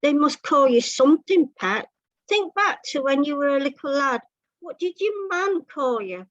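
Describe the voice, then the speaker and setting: Woman playing a helpful, homely housewife, high voice. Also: animated, moderate pace, fairly bright tone, dry recording, highs cut off. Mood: kindly, curious. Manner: homely, says "love" and "pet". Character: helpful, chatty, unflappable housewife narrator of a comic story.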